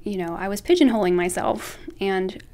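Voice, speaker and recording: A woman's voice: medium pitch, normal speed, darkish tone. Earnest, reflective young woman. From Alabama. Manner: earnest, reflective, self-correcting. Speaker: guest artist. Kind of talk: casual interview chat.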